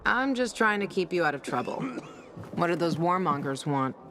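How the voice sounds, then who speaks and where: A woman's voice: on the low side, animated, moderate pace, a bit bright, slight background noise. An actress playing a tough, protective, foul-mouthed young woman survivor in a video game, blunt and urgent. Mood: scornful anger.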